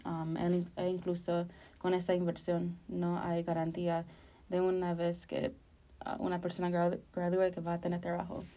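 A woman's voice: on the low side, completely flat delivery, moderate pace, mellow. A woman, co-hosting a casual podcast discussion in hesitant, self-correcting phrases. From Chicago, United States. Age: early 20s. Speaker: podcast co-host.

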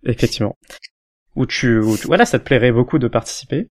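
Playful podcast host at mid pitch. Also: lively intonation, fast. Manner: playful, laughs often. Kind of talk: casual podcast chat.

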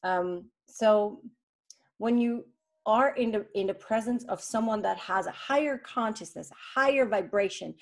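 A woman from Switzerland co-hosting a podcast, talking casually with animated restarts and fillers. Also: medium pitch, a little slow. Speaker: podcast co-host. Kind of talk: casual conversation.

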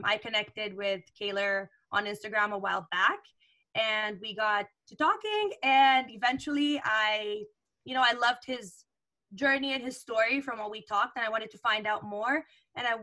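A woman's voice: a little high, pushed and bright. A woman hosting a podcast, chatting casually with fillers as she introduces and interviews a guest over a remote call. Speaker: podcast host.

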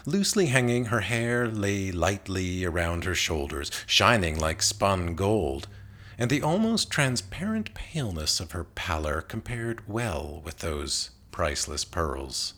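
Male poetry reader, very low pitch, unhurried pace, measured literary recitation, careful enunciation. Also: sing-song, a bit bright. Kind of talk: scripted literary reading of a narrative poem.

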